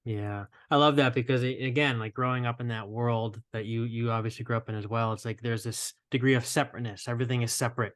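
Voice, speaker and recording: A man hosting a podcast interview, affirming and gentle with his guests. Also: medium pitch, smooth, no room sound. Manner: affirming, gentle, many "yeah" and "mm". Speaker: podcast host.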